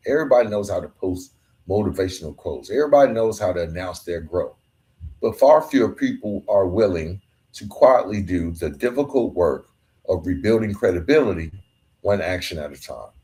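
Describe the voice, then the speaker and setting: Earnest man with a low voice. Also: lively intonation, fairly quick, darkish tone, rough recording. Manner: earnest, emphatic, colloquial, stumbles over words. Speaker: podcast host. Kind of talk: solo motivational monologue.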